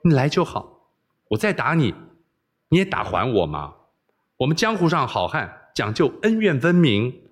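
A man's voice: mid-range voice, very animated, normal speed, pauses often, breathy edge. A man voicing a spoiled, wilful young princess, coaxing, bossy and playful, in audiobook storytelling. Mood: delighted. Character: spoiled, wilful young princess who relishes being scolded.

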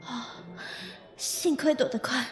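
A woman's voice: high-pitched, fairly even intonation, slow pace, breathy edge, faint music behind, exaggerated voice. A woman voicing a flustered young office newbie on probation who stammers and whines. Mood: panicky. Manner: jittery, stammering, whiny. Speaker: lead in an audio drama. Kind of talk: audio drama acting.

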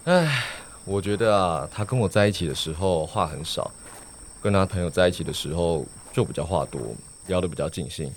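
Teasing Taiwanese schoolboy, swooping pitch played by a man. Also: animated, brisk, slight background noise. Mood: wistful. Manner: slangy, sighing, exclaiming, mocking. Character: teasing male high school student, blunt best friend. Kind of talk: Mandarin radio play acting.

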